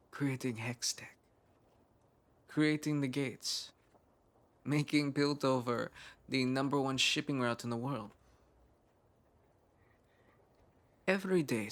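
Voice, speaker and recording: A man voicing a driven, earnest young male scientist of poor roots in an intimate ASMR roleplay monologue, musing in measured phrases with thoughtful pauses. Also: medium pitch, a bit bright.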